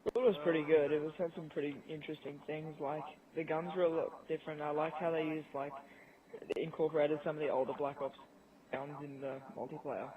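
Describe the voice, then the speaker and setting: Guy on a tinny call chatting games, highish voice, pretty even. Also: brisk, crowd noise. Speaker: guest in a gaming discussion. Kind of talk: casual chat. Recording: tinny phone-like voice chat, faint noise.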